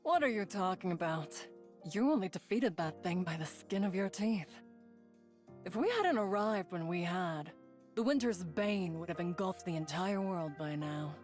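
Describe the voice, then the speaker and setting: A woman's voice: fairly low pitch, animated, moderate pace, a little mellow, faint music behind, natural voice. A woman voicing an earnest, frank young adventurer and loyal companion in an English anime game dub. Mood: fond scolding.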